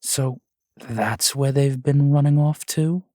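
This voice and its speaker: A man's voice: medium pitch, slow pace, warm tone, dry recording, studio quality, natural voice. A man playing a grumpy, jealous ghost boyfriend in an audio roleplay, with a sardonic, sullen manner. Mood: sullen, pleading.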